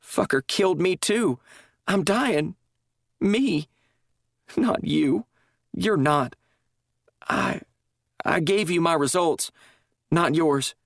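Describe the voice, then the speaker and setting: A woman's voice: on the low side, expressive, slow, long pauses, dry recording, studio quality, voice pushed up, full character voice. A man reading a story, voicing a distraught woman who is crying and rambling in broken-off sentences. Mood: anguished. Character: unhinged dying woman, bitter and grieving.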